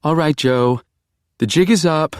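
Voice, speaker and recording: A man voicing an emotional 24-year-old woman who talks in a high, pleading, breathless way. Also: very animated, normal speed, dry recording, studio quality. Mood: defensive. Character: emotional distraught young woman, 24, self-loathing.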